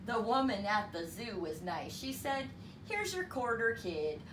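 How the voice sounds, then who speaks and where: A woman reading a story aloud to children in an expressive, deliberate, teacherly way. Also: medium pitch. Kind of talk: expressive read-aloud storytelling with counting lesson. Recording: roomy, distant mic with clear background noise.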